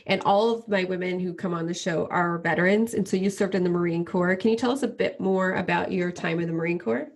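Low husky-voiced woman host. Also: fairly quick, hardly any pauses. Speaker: podcast host. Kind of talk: casual interview hosting.

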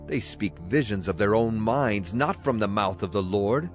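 A man's voice: fairly low pitch, animated, moderate pace. A man gives a solemn, declamatory dramatized reading of scripture as a Bible narrator. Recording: clear music behind the voice. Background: music.

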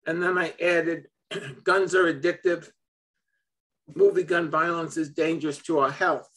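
A man's voice: on the high side, fairly even intonation, measured pace, fairly bright tone. A man in his seventies from Brooklyn, chatting casually on a video call from his home office and chuckling often. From Brooklyn, New York. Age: 70s. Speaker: host.

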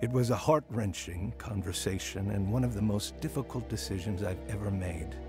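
A man's voice: fairly low pitch, moderate pace, music in the background, close to an everyday voice. An actor playing a regretful married schoolteacher and father telling his life story in a radio drama. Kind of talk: radio drama narration and dialogue.

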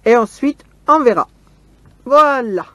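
A woman casually showing her crochet work step by step, often pausing on "voilà", talking from a camper van table with the camera on her hands. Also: on the high side, animated, no room sound. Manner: slow, step-by-step, fond of "voilà". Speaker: crochet vlogger. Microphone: camera filming her hands from close.

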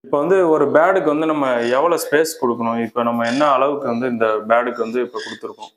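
A man hosting a casual farm visit, asking a breeder quick questions and repeating the answers for viewers. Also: mid-range voice. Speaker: channel host interviewing a bird breeder. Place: indoor aviary full of cages. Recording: crowd of squawking birds behind.

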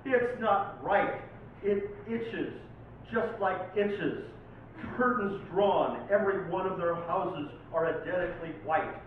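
A man's voice: high voice, slow pace. A man who is a poet, performing at a live reading in a theatrical, dramatic manner. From Oregon, United States. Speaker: poet reading own poems. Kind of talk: live poetry reading with informal introductions. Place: live poetry night venue, recorded from a distance. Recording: tinny, very echoey, distant, faint noise.

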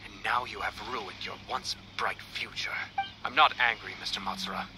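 A man's voice: on the low side, fairly even intonation, moderate pace, noisy background, lightly acted. A man playing a suave, needling young noble. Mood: calm, needling. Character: composed young male noble commissioner, sly and polite. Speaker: clan commissioner confronting an official. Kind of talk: video game voice acting.

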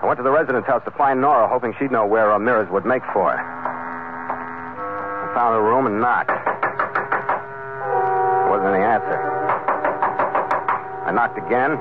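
An actor playing a tough, dry-witted sea captain who speaks tersely in a 1940s radio adventure. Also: male voice, fairly low pitch, unhurried, pauses often, close to an everyday voice. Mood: grim, tense. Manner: terse, hard-boiled. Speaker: narrator and lead. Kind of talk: 1940s radio acting, dramatic narration. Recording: very noisy, narrow-band 1940s radio recording.

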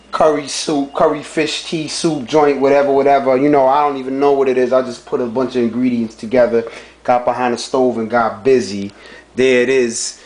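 A young man in a dim home kitchen talking through his cooking in a relaxed, slangy way, recorded on a handheld camera mic. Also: medium pitch. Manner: laid-back slangy chatter, frequent "you know what I'm saying". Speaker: home cook vlogger. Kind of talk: casual cooking vlog. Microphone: handheld camera mic held close.

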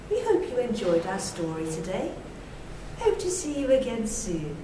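A woman in her thirties telling a rhymed children's story in a prim, deliberate 1940s television presenter manner. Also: fairly high pitch, huge pitch swings. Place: small bare brick-walled room indoors. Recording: echoey room, distant mic, noisy.

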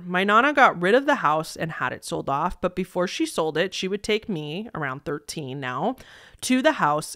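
Young woman, lowish voice, close and dry. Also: fairly bright tone, dry recording. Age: young adult. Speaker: host reading listener-submitted stories. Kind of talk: reading stories aloud with casual commentary. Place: small decorated indoor room. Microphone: close desk microphone.

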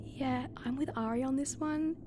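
A woman's voice: sits high, fairly even intonation, moderate pace, noisy background, close to an everyday voice. A woman playing a frank, level-headed crew member in a sci-fi audio drama, speaking informally and directly.

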